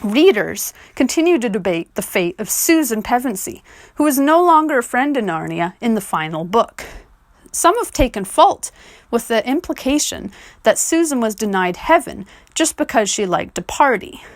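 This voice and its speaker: High, animated woman narrating scripted commentary. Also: normal speed, dry recording. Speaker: video essayist.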